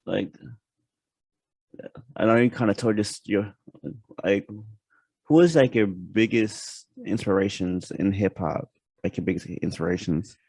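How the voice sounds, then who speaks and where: A man's voice: lowish voice, unhurried, long pauses, darkish tone, husky, no echo. A man hosting a casual interview, asking long rambling questions full of fillers and restarts. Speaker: podcast host.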